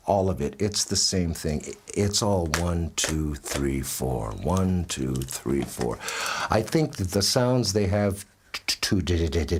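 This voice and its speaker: A middle-aged man who stutters, talking candidly in an interview, tired from touring and laughing often. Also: low voice. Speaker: interviewee, musician. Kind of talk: relaxed interview talk.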